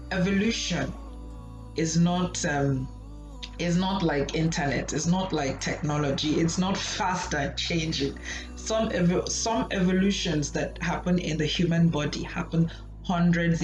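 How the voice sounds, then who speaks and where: A woman, a student therapist hosting her channel, talking casually and rhetorically to camera and checking in with "okay?". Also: low voice. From Nigeria. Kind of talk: casual educational talk to camera. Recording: roomy, slightly dull sound with music behind.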